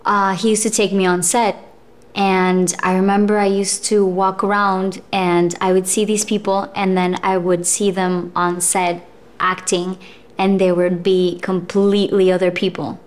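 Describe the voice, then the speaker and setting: Young actress, thoughtful interview guest. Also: female voice, medium pitch, level tone, unhurried. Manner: thoughtful, with frequent fillers and laughs. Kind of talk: casual interview chat.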